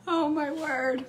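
A woman, chatting casually to her viewers from a home kitchen, slightly hoarse. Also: sits high, slight background noise. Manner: chatty, upbeat, talks straight to camera. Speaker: cooking vlogger. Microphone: phone camera close up on a counter.

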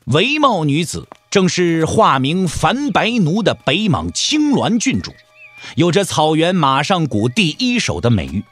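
A man's voice: a little high, huge pitch swings, unhurried, a bit bright, slightly rough, faint music behind. A man narrating a martial arts audio drama in a dramatic, emphatic storytelling style.

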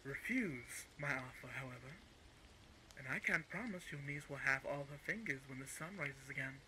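An actor playing a smug, menacing male crime boss in an audio roleplay, speaking in a mocking, condescending and deliberate way. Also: medium pitch, sharp tone, slight background noise, poor audio. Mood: coolly menacing. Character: smug, menacing male crime-organization villain, coolly coercive. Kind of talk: scripted audio roleplay villain acting.

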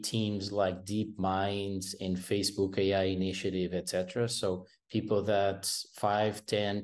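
A man speaks in a fairly low voice over a video call from a room at home. He talks in a hesitant way, full of fillers and false starts. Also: darkish tone. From Portugal. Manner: hesitant, many restarts and fillers. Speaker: venture capital guest. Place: home room with painting behind.

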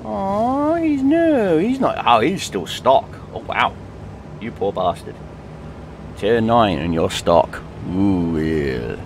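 A man's voice: lowish voice, very animated, slow, slight background noise. A man in his thirties with a Yorkshire accent, giving chatty, joking commentary on a tank game from a small room at home. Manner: chatty, jokey, sings and hums along. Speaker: gaming streamer. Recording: game sound under the voice.

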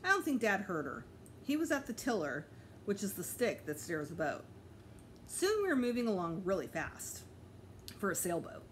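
A middle-aged woman reads a children's book aloud in a medium-pitched voice. Her delivery is very animated, with the odd small slip that she corrects as she goes. It is a home recording with noticeable background noise. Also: normal speed. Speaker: teacher reading aloud to pupils. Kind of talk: expressive children's storybook read-aloud.

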